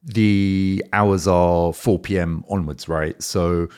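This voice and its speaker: A man's voice: low-pitched, steady tone, takes it slowly, darkish tone, clear, resonant tone. A man hosting an interview, curious and enthusiastic, asking follow-up questions and adding frequent short affirmations. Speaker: interviewer host. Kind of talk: conversational interview.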